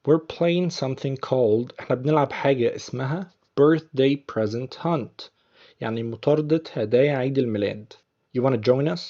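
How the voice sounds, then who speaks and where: A man with an Egyptian accent teaching English, patiently repeating film lines slowly before explaining them. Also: medium pitch, dark tone, dull audio. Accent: Egyptian Arabic.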